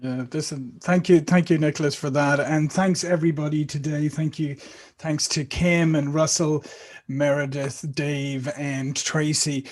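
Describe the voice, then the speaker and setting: Guy hosting an online panel, upbeat, thanking everyone, voice a bit high. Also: little pitch movement, measured pace. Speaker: host. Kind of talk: panel moderation.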